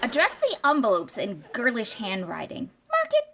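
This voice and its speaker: A woman's voice: on the high side, huge pitch swings, normal speed, exaggerated voice. A woman playing a scatterbrained, cheerfully confident presidential candidate in old-time radio comedy, with an earnest, ditzy delivery. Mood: brightly self-assured. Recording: live stage with loud audience noise.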